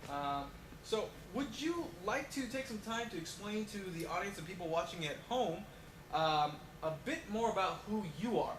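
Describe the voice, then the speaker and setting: A man's voice: high-pitched, expressive, normal speed, a bit bright. Young-sounding man as hesitant talk show host, echoey. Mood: polite, welcoming. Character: friendly male talk show host, polite and chatty. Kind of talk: homemade mock talk show interview. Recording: echoey, noisy homemade video audio.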